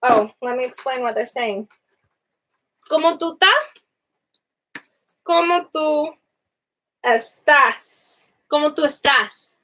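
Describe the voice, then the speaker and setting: A young adult woman teaching simple Spanish phrases in a casual online class, chatty and animated, often laughing and swooping between high and low notes. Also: slow pace, pauses often.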